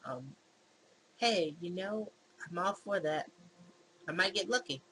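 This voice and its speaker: A woman's voice: lowish voice, expressive, takes it slowly, pushed and bright, slight background noise, rough recording. A woman hosting a gaming video, reading visual novel dialogue aloud in a casual, acted-out way with asides. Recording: music behind the voice. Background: game music.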